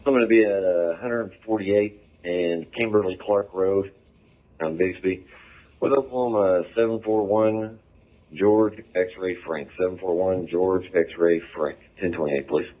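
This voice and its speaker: American male patrol officer, low and slow, calling in over a thin police radio. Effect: police radio transmission. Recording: narrow phone-like police scanner radio.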